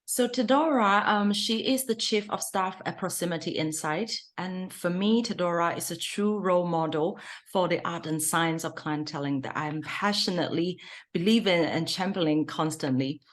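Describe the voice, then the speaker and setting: A woman's voice: fairly low pitch, moderate pace. A woman hosting an interview, introducing her guest and asking questions, with some fillers and slightly unusual phrasing. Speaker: host, consulting founder. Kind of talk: hosted interview.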